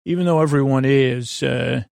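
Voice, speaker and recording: Male host for a bedtime podcast. Creaky, fry-heavy voice, rambling and hesitant, lulling delivery, very dry recording. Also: medium pitch, normal speed, a little mellow, no room sound. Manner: meandering, hesitant, trailing off into tangents. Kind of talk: rambling sleep podcast intro, soothing monologue.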